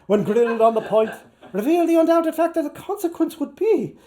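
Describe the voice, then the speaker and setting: Irish male actor for live literary reading; playful, theatrical, quick to switch character. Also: very high-pitched, dark tone. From Ireland. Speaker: actor, reader. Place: live performance before an audience.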